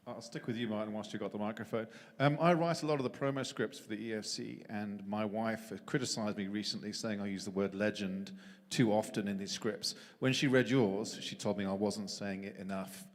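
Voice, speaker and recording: A man's voice: on the low side, steady tone, a little fast. A man who writes promo scripts, asking a question in a jokey, conversational way. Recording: long hall reverb.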